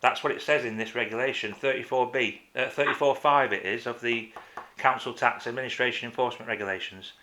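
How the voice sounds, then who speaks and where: A man making a complaint as a caller in a phone conversation, insistent and halting, often repeating himself. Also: mid-range voice, steady tone, noisy background. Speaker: caller to a council office.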